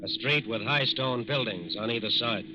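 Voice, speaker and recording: A man narrating a 1940s radio crime drama in a deliberate, dramatic manner. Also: medium pitch, muffled recording, heavy background noise. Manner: deliberate, dramatic repeated phrases. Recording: band-limited 1940s radio recording. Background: dramatic music.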